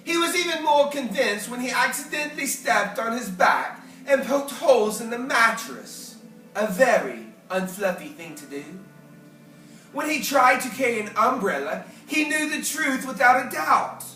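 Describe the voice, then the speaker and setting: A theatrical storyteller reads a children's picture book with big swings in pitch and careful, unhurried phrasing. The voice is bright and clear in its delivery, heard in an echoey room a little away from the mic, with faint music behind. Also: distant mic. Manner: animated, theatrical, deliberate phrasing. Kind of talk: expressive read-aloud of a children's picture book.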